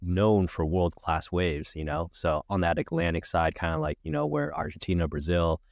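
A man's voice: sits low, animated, normal speed, no room sound, clean studio sound. A man hosting a podcast, talking in an easygoing way with many hesitations. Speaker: podcast host. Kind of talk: casual interview talk.

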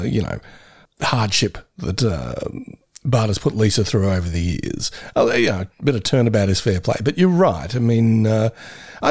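Easygoing Aussie co-host, gravelly, slightly hoarse, expressive pitch, laughs easily. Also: male voice, no echo, studio quality. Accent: Australian. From Geelong, Australia. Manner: easygoing, laughs often, measured. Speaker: podcast co-host. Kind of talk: casual chat.

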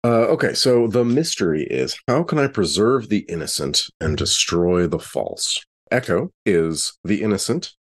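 A man's voice: fairly low pitch, very animated, unhurried, hardly any pauses. A man playing a tabletop role-playing game, casually explaining what his character is after and why.

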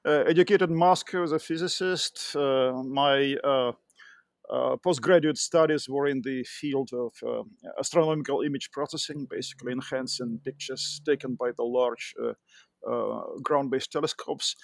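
A middle-aged man from Russia with a Russian accent, a podcast guest telling his career story with many pauses and fillers. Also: medium pitch, creaky, no room sound. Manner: hesitant, many fillers and restarts. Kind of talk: interview conversation.

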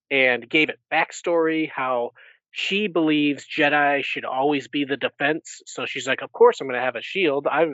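A man's voice: fairly high pitch, fairly bright tone, no room sound, muffled recording. A man co-hosting a podcast, explaining and discussing books in a casual conversational way. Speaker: podcast co-host.

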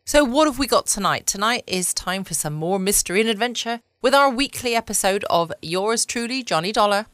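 A southern English woman hosting a podcast in a chatty, unhurried bedtime style. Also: medium pitch, expressive, fairly bright tone, no room sound. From United Kingdom. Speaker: podcast host. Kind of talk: casual bedtime chat.

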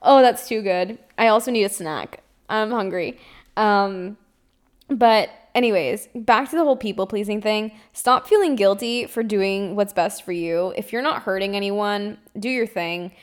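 A woman's voice: medium pitch, unhurried. A woman in her twenties as a podcast host, telling a candid, chatty storytime monologue full of fillers and small laughs. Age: 20s.

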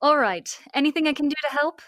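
A woman's voice: high voice, expressive, fast, a bit bright, dry recording, studio quality. A young woman amateur playing a curious, admiring scientist's assistant in an old-time radio serial, inquisitive and wide-eyed. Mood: supportive. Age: young adult.

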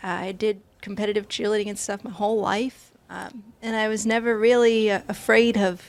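A woman's voice: medium pitch, level tone, a little fast. An American woman, a burlesque performer, chatting casually as a guest in an interview, laughing often and trailing off mid-sentence. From United States.

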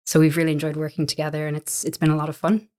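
An Irish woman in her thirties, a business owner chatting as an interview guest, relaxed and full of little fillers and restarts. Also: low voice, very fast. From Ireland. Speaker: guest, co-owner of a coworking space. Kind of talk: relaxed conversational storytelling.

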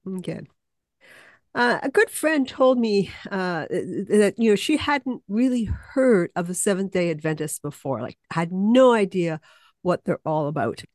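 A woman hosting a community podcast, introducing her guest and asking questions in a friendly, measured way. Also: medium pitch, big pitch swings, darkish tone, no room sound. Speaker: podcast host.

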